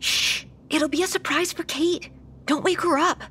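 Secretive, pushy young girl: high, animated, hushed, faint noise behind, audio horror. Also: normal speed. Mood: hushed urgency. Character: bossy, secretive young girl leading friends away. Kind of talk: horror audio drama acting.

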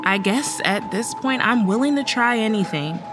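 A young woman acting a sleepless, stressed college student, with an expressive, anxious delivery in an audio drama. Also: a little high, normal speed, music in the background, lightly acted. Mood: weary, apologetic. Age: young adult. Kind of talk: dramatic dialogue in old time radio style audio play.

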